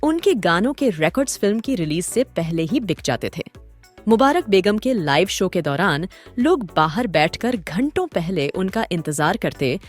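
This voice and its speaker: A woman's voice: lowish voice, very animated, normal speed. A woman hosting and narrating a scripted documentary story in a dramatic, literary storytelling manner. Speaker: host and narrator. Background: background music.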